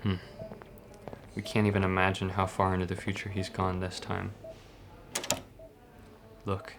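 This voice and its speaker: A man playing a brooding, obsessive doctor in a horror manga dub, speaking in a grave, detached, gravelly way. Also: low voice, completely flat delivery, unhurried, background noise. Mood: somber. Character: brooding, obsessive male doctor, coldly rationalizing human experiments.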